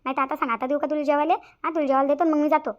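Woman voicing a shrill-pitched, nagging village mother. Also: steady tone, racing, highs cut off, close to an everyday voice. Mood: fussing. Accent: rural Maharashtrian Marathi. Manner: nagging, repetitive. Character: fussy, nagging middle-aged village mother. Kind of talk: cartoon comedy dialogue.

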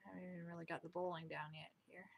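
A young woman casually commenting on her own drawing as she works, chatty and self-deprecating, with quiet muttered asides, recorded at home on a desk mic. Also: fairly low pitch, flat delivery, rapid-fire, gravelly. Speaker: drawing vlogger. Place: home room, indoors.

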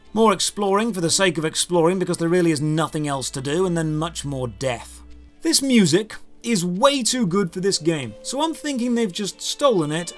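High-pitched sardonic British man reviewing games. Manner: sardonic, critical. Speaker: video game reviewer. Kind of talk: scripted review narration. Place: small room lined with game shelves.

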